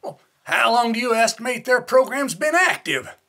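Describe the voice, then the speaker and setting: An actor playing a blustering, irascible army colonel in a radio play, sputtering and commanding. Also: male voice, very high-pitched, very animated, moderate pace, pushed and bright, full character voice. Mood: incredulous, irritated. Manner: sputtering, incredulous, gruffly commanding.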